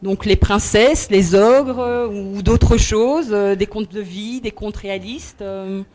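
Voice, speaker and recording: A woman's voice: mid-range voice, slow pace, a little mellow. A woman interviewing a guest for a radio station, asking questions politely and using fillers to keep the talk moving.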